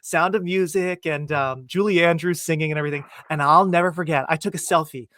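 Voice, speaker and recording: An American man with a somewhat high voice chats as a podcast host in an enthusiastic, informal way, often saying "like". The sound is dry and close, with very little room in it. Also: fairly quick, a bit bright, dry recording. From New Jersey, United States. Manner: chatty, enthusiastic, uses "like" often. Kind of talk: casual chat.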